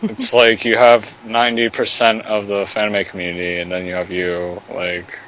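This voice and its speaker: A man's voice: on the low side, level tone, moderate pace, bright, forceful tone. A man in casual podcast chat who laughs often and searches for words, on a lo-fi recording. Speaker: podcast co-host, voice actor. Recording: dull lo-fi backup audio.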